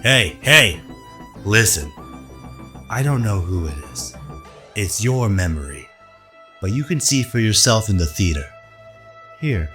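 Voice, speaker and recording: Man acting a smooth, low-voiced shady hacker. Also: very animated, measured pace, long pauses, bright, forceful tone, full character voice. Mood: placating. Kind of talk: radio drama acting. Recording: music under the voice.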